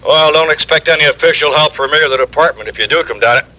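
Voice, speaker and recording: An actor with an American accent playing an exasperated, grumbling New York police lieutenant in an old-time radio drama. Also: male voice, a little high, fast talker, sharp tone. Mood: exasperated, firm. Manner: blustery, grumbling, emphatic. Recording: dull lo-fi vintage radio recording.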